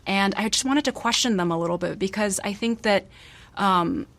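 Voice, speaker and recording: A woman in her thirties, an author born abroad, answering interview questions thoughtfully with many pauses and restarts. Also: medium pitch, a bit bright. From Malaysia. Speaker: author guest.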